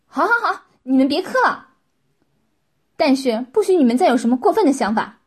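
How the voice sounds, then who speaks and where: Woman acting an imperious young mistress, loud and high. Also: sing-song, moderate pace, husky. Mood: exasperated. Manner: commanding, impatient, loud. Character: imperious young woman, scolding master of servile followers.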